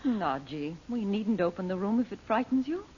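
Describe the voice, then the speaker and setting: Protective, fretful mother, played by an actress, emotive and expressive, vintage radio drama, dull hissy recording. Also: medium pitch, moderate pace, lightly acted. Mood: soothing concern. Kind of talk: old-time radio drama acting.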